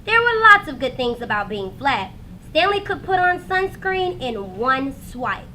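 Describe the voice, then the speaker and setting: Bright, high-pitched woman reading a storybook. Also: measured pace, a little hiss or hum, rough recording. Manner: expressive, dramatic emphasis for young listeners. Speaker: teacher reading aloud to students. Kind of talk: animated children's storybook narration.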